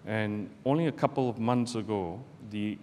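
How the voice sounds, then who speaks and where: A middle-aged man from Malaysia, a barrister giving a measured, deliberate public talk to students from a podium in a lecture hall. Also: on the low side, darkish tone. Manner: measured, deliberate delivery with pauses. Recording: roomy hall with long reverb, faint background noise.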